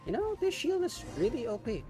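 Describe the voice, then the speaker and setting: A woman's voice: sits high, wildly swooping pitch, brisk, noisy background. A woman streamer making sarcastic, joking asides over game dialogue. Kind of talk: casual game commentary.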